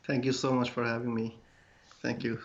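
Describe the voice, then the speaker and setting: A man's voice: medium pitch, level tone, moderate pace. A man speaks as an interview guest, earnest and hesitant with many fillers. He is feeling under the weather. His voice comes over a dull, lo-fi remote line. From Bangladesh. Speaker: guest, clothing brand founder. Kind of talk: casual interview answers.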